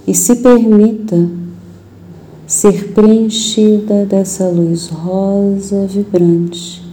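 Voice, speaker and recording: A Brazilian woman leading a guided meditation in a soothing, gentle, unhurried manner with long pauses. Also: medium pitch, steady tone, slight background noise, low-quality recording.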